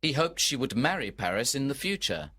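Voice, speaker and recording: A man narrating a storybook for learners from a script, in a measured, deliberate way. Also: medium pitch, very animated, pushed and bright, slightly rough.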